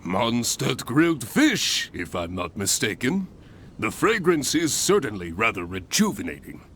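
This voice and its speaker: An actor playing a hearty, encouraging exam master in a video game, speaking in a grandiose, instructive way. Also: male voice, mid-range voice, big pitch swings, a little slow, a bit bright, slight background noise. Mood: measured, considerate. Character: hearty, encouraging male exam master of adventurers, theatrical and enthusiastic. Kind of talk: video game character acting. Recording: game music under the voice.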